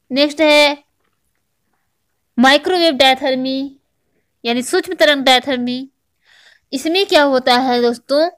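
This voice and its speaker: Woman, very high smooth voice, instructional slide narration, didactic, repeats key points. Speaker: teacher, online exam-prep educator. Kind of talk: scripted lesson narration over slides.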